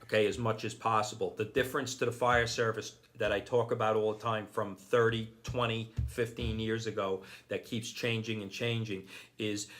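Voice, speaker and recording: A man's voice: lowish voice, little pitch movement, moderate pace, a bit bright. A middle-aged man, a deputy fire chief giving earnest advice in a podcast interview, often repeating himself and adding "okay".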